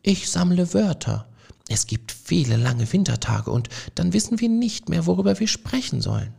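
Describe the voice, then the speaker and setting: Ein Mann, der eine sanfte, verträumte männliche Feldmaus spricht, ruhig und bescheiden. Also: male voice, high-pitched, normal speed, creaky, husky, pitched above the performer's own voice, exaggerated voice. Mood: calm. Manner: soft, calm and modest. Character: gentle dreamy male field mouse poet.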